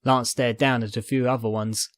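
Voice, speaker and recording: A man's voice: mid-range voice, flat delivery, moderate pace, no room sound. A man reading fiction aloud as narrator, in scripted dramatic storytelling style. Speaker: narrator, fan fiction reader.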